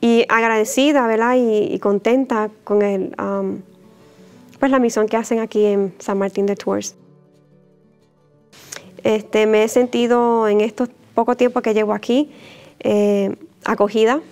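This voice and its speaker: A woman in her thirties from Puerto Rico, a religious sister giving a gentle, unhurried personal testimony to camera on a lapel mic in a church chapel, with soft fillers and small laughs. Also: fairly high pitch, steady tone, smooth, faint music behind. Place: indoor church chapel, mid-sized.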